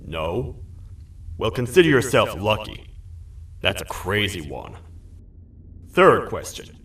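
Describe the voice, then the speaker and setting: An actor playing a massive, gruff toad sheriff who talks like a stern frontier lawman. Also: fairly low pitch, sing-song, slow pace, a bit bright, a little hiss or hum, full character voice. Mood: interrogating. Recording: echoey game audio from a distance, light music.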